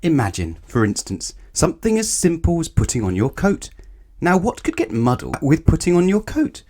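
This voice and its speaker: A man's voice: a little high, wildly swooping pitch, moderate pace, a little mellow. A man reading a children's picture book aloud expressively, speaking directly to young listeners. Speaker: storyteller.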